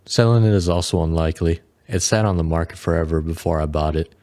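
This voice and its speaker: A man's voice: low-pitched, fast, a little mellow, close to an everyday voice. A man playing a dejected, down-on-his-luck property owner in an audio drama. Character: dejected, down-on-his-luck man who bought a bad building.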